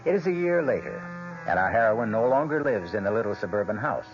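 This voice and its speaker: A man hosting and narrating a radio drama with a measured, dramatic storytelling delivery. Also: lowish voice, very animated, soft background music. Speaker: host and narrator. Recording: muffled, noisy old radio broadcast.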